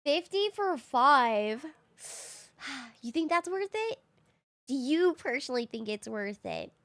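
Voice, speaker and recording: A woman game streamer chatting casually with her viewers while she plays, slightly raspy from just waking up, easygoing and quick to laugh. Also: high-pitched, big pitch swings, a bit bright. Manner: chatty and easygoing, laughs often, thinks aloud. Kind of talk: casual live-stream chat, answering viewers.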